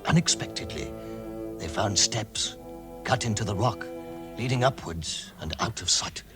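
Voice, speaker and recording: A man's voice: fairly low pitch, animated, measured pace. A man narrating a fantasy quest as a solemn storyteller in a scripted radio drama. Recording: narration under loud dramatic music. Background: loud music.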